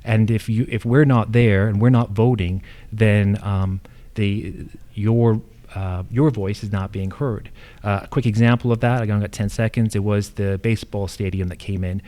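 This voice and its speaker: A man with an American accent answering as a candidate at a forum, speaking earnestly and emphatically with halting phrasing. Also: on the low side, steady tone, dark tone. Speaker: incumbent county assessor candidate.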